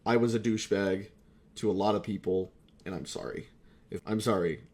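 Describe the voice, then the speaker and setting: A man's voice: on the low side, fairly even intonation, a little fast, a little mellow. A man talking in a rambling, confessional, casual monologue, candid and self-deprecating, with frequent swearing. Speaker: voice actor reflecting on hobby career.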